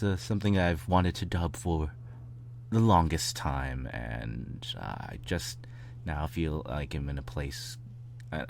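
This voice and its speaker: A man hosting his channel, talking casually and informally to listeners in a halting, unscripted way. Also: sits low, darkish tone, a little hiss or hum. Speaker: host and fan fiction reader.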